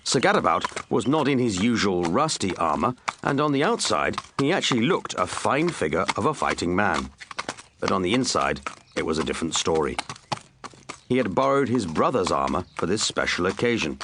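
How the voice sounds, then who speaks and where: Male audiobook narrator, low voice, very animated intonation, children's comedy storytelling, wry asides. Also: normal speed, noisy background.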